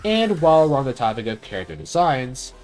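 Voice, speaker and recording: A man delivers casual, opinionated review commentary as the host. His mid-pitched voice swings up and down animatedly, and his phrasing is blunt and informal. Faint music plays behind him. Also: very animated, fairly quick, darkish tone. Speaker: video game review host.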